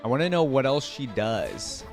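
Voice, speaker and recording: A man's voice: mid-range voice, big pitch swings, normal speed, soft background music. A man hosting a casual reaction video, talking enthusiastically and rambling with many fillers.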